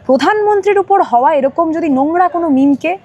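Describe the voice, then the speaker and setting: A woman's voice: very high-pitched, lively intonation, brisk, dark tone. A woman with a Bengali accent giving emphatic political comment as an interview guest, in long, repetitive sentences.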